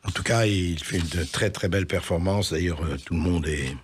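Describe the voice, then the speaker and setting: A man's voice: low voice, moderate pace, slightly rough. A man hosting a radio interview, prompting his guest with clarifying questions and frequent murmurs of agreement. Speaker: radio host.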